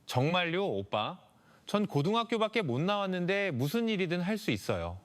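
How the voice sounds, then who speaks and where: A man's voice: high-pitched, big pitch swings, moderate pace, a bit bright. A man voicing a young female investigator in a story, earnest and demure, posing as an innocent country girl. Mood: naive, timid.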